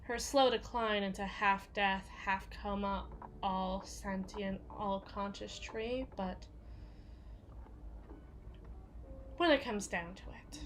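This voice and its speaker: Woman acting a slow-talking, jaded bodyguard, halting and hushed. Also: medium pitch, steady tone, soft background music, close to an everyday voice. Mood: sombre, weary. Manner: halting, hushed, often sinking into whispers. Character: jaded, foul-mouthed bodyguard confiding tenderly in a partner. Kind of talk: intimate ASMR roleplay monologue.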